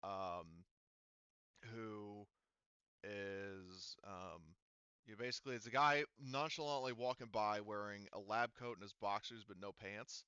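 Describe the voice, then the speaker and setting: A man's voice: on the low side, fairly even intonation, measured pace, bright, forceful tone, clean tone, no echo, lo-fi sound. A man running a tabletop roleplaying game as game master, explaining things casually and somewhat hesitantly.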